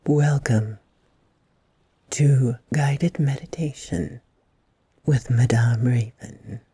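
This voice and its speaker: A woman hosting a guided meditation, speaking in soothing, deliberate phrases with long pauses and a breathy softness. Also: very deep voice, dry recording. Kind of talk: slow guided meditation narration.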